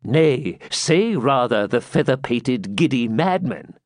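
Shrewd medieval courtier for a storybook, male reader: controlled, formal archaic diction, expressive pitch, very dry sound. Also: slow pace, no echo. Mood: scornful.

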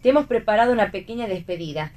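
An actress playing a wry, bossy stage performer who gives dry retorts and directs others in a radio play reading. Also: female voice, medium pitch, animated, racing, a little mellow, lightly acted. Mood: wry, explaining. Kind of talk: radio read theatre dialogue.

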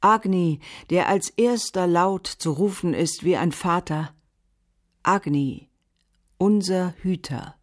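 Slow, solemn woman narrator, lowish voice. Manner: solemn, deliberate. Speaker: narrator in a radio play. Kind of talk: scripted narration of a ritual.

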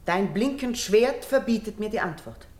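An actress playing a noble, devout young priestess in a classical radio drama, pleading in solemn, declaimed verse. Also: female voice, mid-range voice, animated, normal speed, darkish tone, lightly acted. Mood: urgent, earnest.

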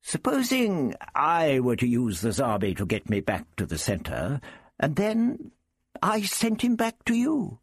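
Fussy old doctor man for an audiobook, proud n snappy, high husky voice that swoops around. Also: wildly swooping pitch, unhurried, no echo, heavily acted. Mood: musing, gracious. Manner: sniffy, interjecting "hmm?" and "eh?". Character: proud, testy, pompous old male doctor.

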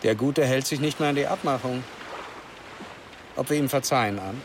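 A man's voice: mid-range voice, normal speed, noisy background, lightly acted. An actor playing a middle-aged, easygoing womanising father, musing casually in a radio drama. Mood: puzzled, indulgent. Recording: music behind the voice.

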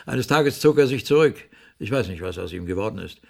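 An actor playing a guarded, condescending male client in a German radio play, dry and skeptical, with a creaky voice. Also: fairly low pitch, animated, a little fast. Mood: smug, genial.

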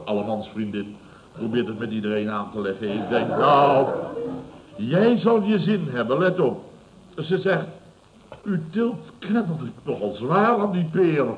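A smug building boss in his fifties in an old radio comedy. His voice sits fairly high and he blusters, repeats himself and chuckles over his own tales. The recording is dull and clearly noisy. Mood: blustering, mocking. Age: 50s. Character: gruff, self-satisfied older male building boss telling tales. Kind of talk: 1970s Dutch radio comedy acting.

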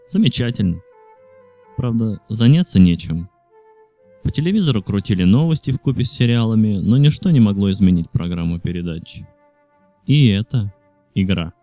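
A man's voice: lowish voice, warm tone, muffled recording. A man reading literary fiction aloud as a scripted audiobook narration. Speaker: audiobook reader.

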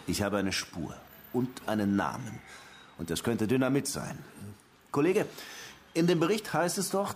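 An actor playing a dogged, lovestruck police detective in a German radio crime drama, wry and slangy. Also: male voice, mid-range voice, slight background noise, close to an everyday voice.

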